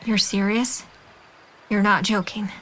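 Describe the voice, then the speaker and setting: A woman's voice: mid-range voice, fairly even intonation, takes it slowly, fairly bright tone, a little hiss or hum. A woman acting a kind, encouraging young friend in an audio drama, speaking with patient, sincere reassurance. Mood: concerned.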